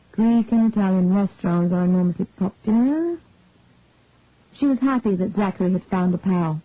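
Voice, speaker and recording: A woman reading a story aloud in a calm, measured way, with a tinny phone-like sound. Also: mid-range voice, dry recording. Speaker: narrator.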